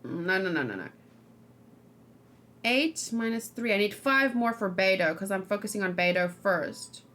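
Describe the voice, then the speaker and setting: A woman doing a casual let's play, excitable and thinking aloud, often repeating her phrases as she goes. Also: mid-range voice, unhurried. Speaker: game streamer, host. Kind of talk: casual let's play chat and live game commentary.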